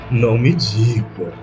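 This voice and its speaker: A man with a Brazilian accent playing an angry, wounded, vengeful rocker in a cyberpunk audio drama, terse and sarcastic. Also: medium pitch.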